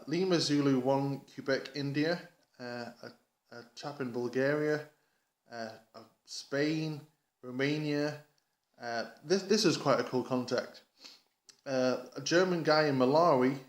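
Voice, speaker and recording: Echoey, enthusiastic man from England, rambling casually. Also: medium pitch. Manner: enthusiastic, rambling, halting with repeated words. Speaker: amateur radio hobbyist vlogger. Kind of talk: casual vlog update. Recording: echoey, roomy sound.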